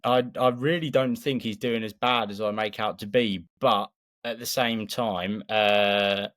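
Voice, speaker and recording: Halting, casual male podcast co-host. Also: on the low side, steady tone, fairly bright tone. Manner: halting, repeats words as he thinks aloud. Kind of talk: casual sports podcast chat.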